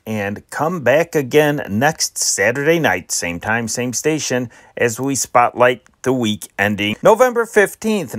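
A man's voice: medium pitch, no echo. Casting: male program host, mid-range voice, informal presenting, dry studio sound. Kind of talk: casual scripted radio-show sign-off.

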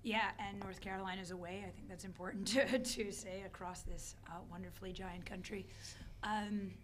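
A middle-aged woman speaks with a hoarse, scratchy voice, her throat worn out. She answers press questions in a measured, thoughtful way from behind a table mic. Her pitch sits in a middle range for a woman. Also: fairly even intonation, a bit bright. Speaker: head coach. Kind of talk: postgame press conference answers. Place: press conference room, seated at a table. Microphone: desk microphone on a stand.

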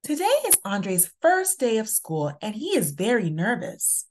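A woman reading a children's picture book aloud in a deliberate, expressive storytelling manner. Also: medium pitch. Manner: slow, deliberate, expressive delivery for young children. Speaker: children's story reader and channel host.

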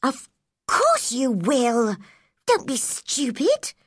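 A woman's voice: high-pitched, sing-song, slow, dry recording, studio quality, voice pushed up, full character voice. A woman playing a kind but firm, spirited young girl in a storybook, speaking earnestly and insistently. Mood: cross. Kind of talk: storybook character reading.

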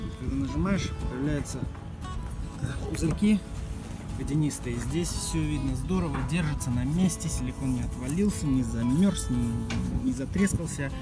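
A man casually explaining his van repair work as he goes, recorded on a phone inside a parked vehicle. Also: on the high side. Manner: offhand, explains as he works. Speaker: vlogger. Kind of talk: casual DIY walkthrough narration. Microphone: phone camera held while working. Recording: phone audio with loud music underneath.

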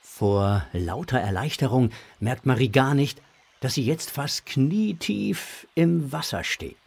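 A man's voice: medium pitch, expressive, slow pace, vocal fry, darkish tone, husky. A man narrating a scripted audio drama for children.

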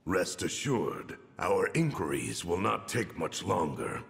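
An actor playing a pompous, menacing middle-aged military executive in a video game, gravelly, formal and deliberate. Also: male voice, low-pitched, sing-song, fairly bright tone, long reverb tail, soft background music, full character voice. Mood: smug, deferential. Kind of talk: dramatic video game voice acting.

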